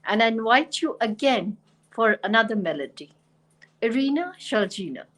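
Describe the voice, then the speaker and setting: A woman's voice: a little high, animated, normal speed. A woman hosting an online poetry reading, introducing poets with effusive praise. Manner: warm, effusive praise of guests. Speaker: host.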